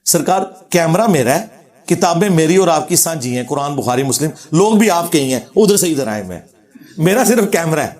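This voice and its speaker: A man lecturing on religion in a notably high-pitched voice with a Pakistani Punjabi accent. He argues his rebuttal informally and sardonically, moving between commentary and quoted scripture. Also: animated, a little fast, a little mellow. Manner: argumentative, sardonic, quoting scripture.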